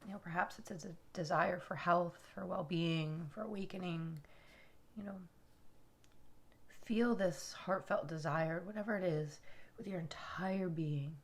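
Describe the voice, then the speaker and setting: A woman leading a guided relaxation meditation in a soothing, unhurried way, with soft, breathy phrase endings. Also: lowish voice. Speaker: yoga nidra meditation guide.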